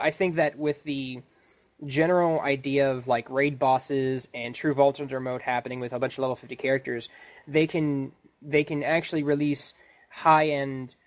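A man co-hosting a podcast in a casual chat, speaking in a hesitant, meandering way. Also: medium pitch. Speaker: podcast co-host.

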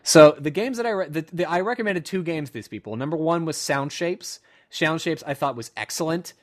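A man's voice: fairly high pitch, brisk. A young man co-hosting a casual gaming podcast, rambling and joking with friends, often restarting his sentences. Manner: rambling, self-interrupting, joking. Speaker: podcast co-host. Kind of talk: casual chat among friends.

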